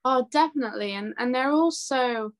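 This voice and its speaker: A woman's voice: high voice, normal speed. A young woman chatting casually and enthusiastically as a musician being interviewed. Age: young adult.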